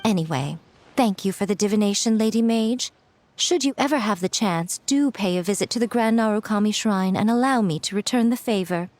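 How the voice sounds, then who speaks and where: A woman's voice: medium pitch, darkish tone, close to an everyday voice. A woman acting an elegant, playful and sly head of a shrine with a genteel, teasing delivery in a video game. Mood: gracious, inviting. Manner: genteel, teasing, drawn-out phrasing.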